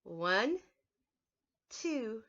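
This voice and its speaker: A woman's voice: fairly high pitch, very animated, very slow, bright, forceful tone, highs cut off. A woman preschool teacher giving a lesson to young children, explaining things simply and step by step.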